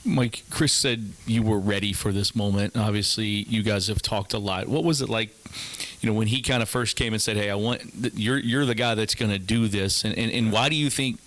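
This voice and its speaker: A man with a fairly low voice asks questions as a sports reporter. He is wordy and conversational, stumbling a little as he builds each question. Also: a little fast, a bit bright. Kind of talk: press conference questioning.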